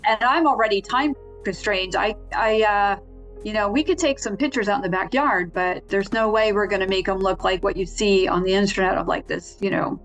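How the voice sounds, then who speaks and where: A woman's voice: medium pitch, a little fast, soft background music, poor audio. A woman guesting on a podcast, chatting eagerly and agreeing often in casual spiritual talk.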